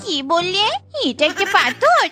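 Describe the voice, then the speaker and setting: A woman's voice: very high voice, sing-song, unhurried, over music, full character voice. A woman voicing a naive, melodramatic animal wife in a comic cartoon, with a whiny, childlike manner. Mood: dramatic distress. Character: silly, naive animal wife, excitable and dramatic. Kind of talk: exaggerated comic cartoon acting.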